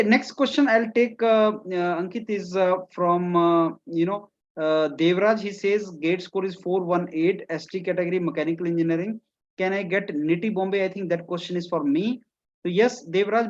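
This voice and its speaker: A man's voice: high-pitched, highs cut off. A man with an Indian accent hosting a counselling Q&A, reading out viewer questions and mixing Hindi into his English. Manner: talks fast, mixes Hindi into English, many fillers. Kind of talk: live counselling Q&A.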